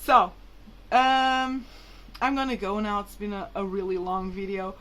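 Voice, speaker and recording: A woman's voice: mid-range voice, steady tone, unhurried, fairly bright tone. A woman whose mother tongue is Portuguese, talking casually and hesitantly to viewers in a rambling, informal vlog. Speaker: vlogger.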